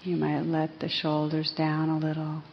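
A woman leads a guided meditation in a low, very even voice. She speaks gently and slowly, leaving pauses between phrases. The recording is slightly muffled. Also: creaky. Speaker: meditation teacher. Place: live evening group session. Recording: slightly muffled live recording, faint hiss.

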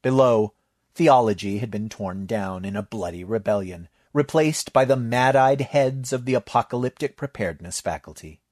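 A man reading a story aloud in measured, scripted narration. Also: mid-range voice, darkish tone, no echo, studio quality. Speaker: story reader.

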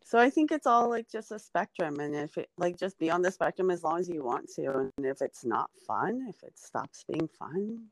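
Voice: mid-range voice, sing-song, normal speed, hardly any pauses, dry recording, low-quality recording. A comedian in their forties, guesting on a podcast in casual, rambling chat, full of fillers. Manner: rambling, filler-heavy, chatty.

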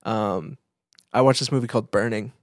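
A man co-hosting a casual podcast, thinking aloud in a reflective, halting way. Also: fairly low pitch, dry recording, studio quality. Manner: reflective, halting, piecing thoughts together. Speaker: podcast co-host, photographer.

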